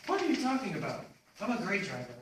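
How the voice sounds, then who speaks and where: A man's voice: sits high, wildly swooping pitch, fast talker. A man playing a clueless, self-absorbed father in a comic readers theater piece. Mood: sheepish, defensive. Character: goofy, clueless, self-absorbed suburban dad. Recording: very echoey room, distant mic, faint noise.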